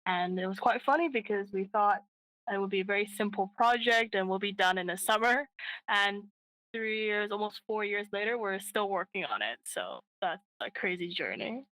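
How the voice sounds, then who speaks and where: A woman's voice: mid-range voice, normal speed, a bit bright, rough recording. Earnest woman co-founder explaining her work. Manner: earnest, frequent fillers and restarts. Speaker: app co-founder, guest. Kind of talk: casual interview answers.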